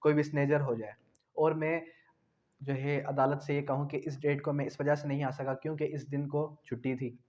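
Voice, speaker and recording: A man's voice: fairly high pitch, flat delivery, normal speed, darkish tone. A man with a Pakistani accent gives an explanatory law lecture, often repeating points and answering his own questions. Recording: dull, lo-fi sound.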